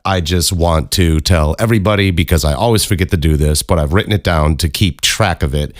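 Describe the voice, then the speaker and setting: A man with a low voice talks casually as a solo podcast host. His delivery is loose and rambling, with an informal, sweary looseness. The sound is close and dry, with very little room in it. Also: no room sound.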